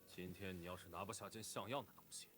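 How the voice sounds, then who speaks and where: A man playing a stern, haughty clan leader in an animated fantasy drama, imperious, scornful and curt. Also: low-pitched, quick pace, slight background noise. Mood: stern, impatient.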